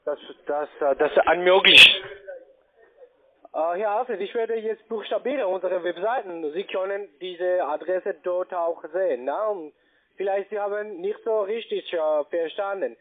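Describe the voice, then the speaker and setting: A man with a foreign accent speaking non-native German, giving a halting phone sales pitch as a call centre agent and often checking with short tag questions. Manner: halting, broken grammar, checks with "ja?" and "ne?". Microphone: telephone. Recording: muffled narrow phone line.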